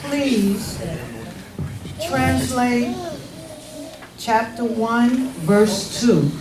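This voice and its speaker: A woman's voice: a little high, very slow. A woman in a congregation asking a question about a scripture translation, hesitant and restarting often. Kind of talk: question in a group discussion. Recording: distant mic in an echoey room with a crowd.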